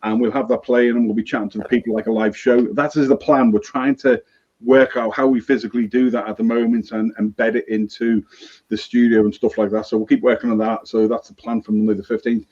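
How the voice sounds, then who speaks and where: A man's voice: medium pitch, level tone, quick pace, darkish tone. Chatty man host, dull lo-fi sound. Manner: chatty, upbeat, calls people mate. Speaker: show host and producer. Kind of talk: casual live-stream chat. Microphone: remote audio feed, off-screen.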